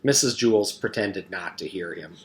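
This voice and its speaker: A man reading a children's storybook aloud as narrator, in the animated manner of a teacher reading to pupils. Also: sits low, moderate pace.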